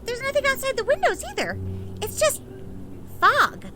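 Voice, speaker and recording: A woman playing an excitable, quirky, nerdy young know-it-all in an audio drama, chatty and eager. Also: extremely high pitch, normal speed, faint music behind, heavily acted. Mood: worried. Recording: noisy, hissy background.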